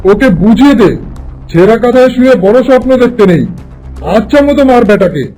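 Guy doing a stern scheming landlord dad from a cartoon, really high voice for a man, soft tone, music behind. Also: animated, a little fast, rough recording. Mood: angry, commanding. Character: stern, domineering landlord father, middle-aged, scheming. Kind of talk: Bengali cartoon fairy-tale voice acting.

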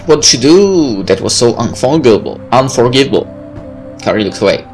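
Animated man narrating a game playthrough. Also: medium pitch, brisk. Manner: informal, swearing, joking asides. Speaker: gameplay host reading a visual novel aloud. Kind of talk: let's play narration with casual asides. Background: game music.

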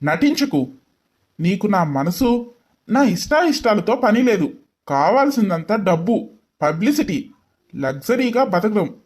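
A woman's voice: mid-range voice, very animated, unhurried, long pauses, a little mellow, husky, pitched below the performer's own voice. A woman voicing a contemptuous, accusing young husband in a dramatized story. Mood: contemptuous, disgusted.

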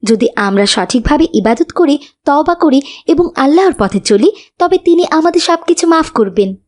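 Woman voicing a very high, devout little cartoon girl. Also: lively intonation, normal speed, a little mellow. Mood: reassuring. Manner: earnest, instructive. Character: devout, humble young girl, patient adviser.

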